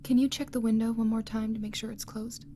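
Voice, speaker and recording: An actress playing a high-strung young mother in an audio drama, pointed and questioning with her husband. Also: little pitch movement, fast talker, warm tone, a little hiss or hum, natural voice. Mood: tired, wary. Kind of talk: naturalistic audio drama acting.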